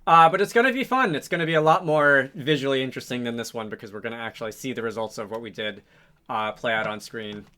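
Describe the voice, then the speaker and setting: A man's voice: mid-range voice, fast talker, sharp tone. A man hosting a game development tutorial, talking casually and unscripted, musing to himself as he works. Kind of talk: casual unscripted screen-recording tutorial, thinking aloud.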